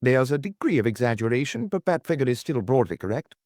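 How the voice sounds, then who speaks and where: A man voicing a brisk, guarded lawyer in an audiobook, formal, officious and insistent on the telephone. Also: medium pitch, very animated, darkish tone, no room sound, studio quality. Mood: formal, businesslike. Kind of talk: audiobook character dialogue.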